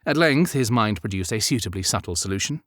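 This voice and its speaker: Low-voiced man narrating a novel, dry sound. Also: expressive, fast, fairly bright tone, dry recording. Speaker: audiobook narrator. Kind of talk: scripted literary narration of a historical romance.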